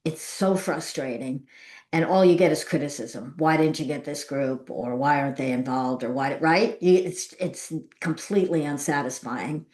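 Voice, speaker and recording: A woman's voice: deep voice, normal speed, a little mellow, reverberant. An American woman hosting a podcast, conversational and bluntly opinionated as she interviews. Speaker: podcast host.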